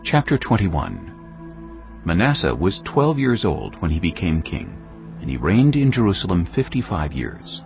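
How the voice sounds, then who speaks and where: Deep gravelly male narrator. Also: wildly swooping pitch. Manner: measured, solemn storytelling. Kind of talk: scripted Bible narration. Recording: dull lo-fi audio over faint music.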